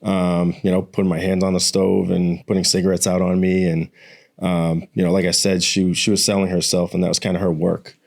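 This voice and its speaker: A man's voice: sits low, completely flat delivery, brisk, gravelly, a little mellow. A man from near Boston with a light Boston accent, telling his life story as a guest in a casual interview. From Massachusetts, near Boston. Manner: earnest, plainspoken, says "man" often. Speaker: guest, combat veteran and author. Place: home studio.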